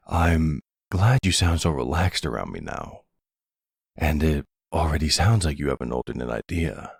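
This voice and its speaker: A man playing a smooth, flirtatious, middle-aged mafia boss in an ASMR roleplay, courteous and teasing, with a husky, gravelly voice. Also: very deep voice, sing-song, no room sound, studio quality, full character voice. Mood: warm, flirtatious.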